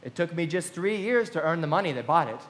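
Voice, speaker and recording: A man playing a wealthy young gentleman in a live radio play, lovestruck and eager to impress. The voice is a little high, with a genteel, theatrical delivery. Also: animated, fast talker. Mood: proud, genteel.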